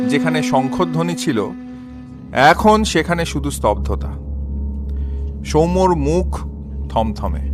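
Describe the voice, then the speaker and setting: A man narrating a scripted crime story dramatically, with measured pauses. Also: fairly high pitch.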